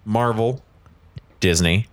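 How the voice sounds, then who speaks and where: A man's voice: on the low side, slow pace. A man hosting a casual podcast chat among friends, joking, swearing freely and steering the talk. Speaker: podcast host. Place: room shared with friends.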